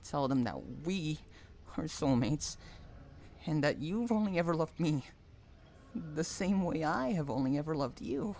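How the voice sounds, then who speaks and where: Casting: male actor as possessive, unhinged stalker for audio roleplay; mid-pitched, unhurried delivery, taunting, sliding between tender coaxing and threat. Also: slight background noise. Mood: angry, menacing. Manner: taunting, swinging between soft coaxing and menace. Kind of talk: intimate audio roleplay acting.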